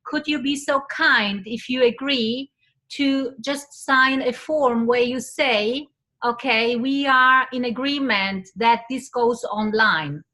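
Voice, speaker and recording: Middle-aged woman talking to a class in english, high bright voice, takes her time. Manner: slow and coaxing, prompting the class to answer. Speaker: event host. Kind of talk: addressing a class of students.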